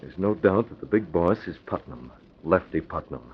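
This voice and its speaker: A confident vigilante hero of 1940s radio serials. The voice is very low, firm and decisive. The vintage recording is dull with faint noise. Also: male voice, lively intonation, moderate pace. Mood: calm, planning. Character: confident masked vigilante posing as lone-wolf racketeer. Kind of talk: 1940s radio adventure acting. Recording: muffled, hissy old radio recording.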